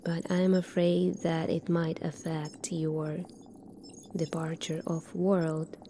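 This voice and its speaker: Slow, soft-spoken woman in ASMR doctor roleplay. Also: low voice, level tone, a little hiss or hum. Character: calm, secretive futuristic military doctor.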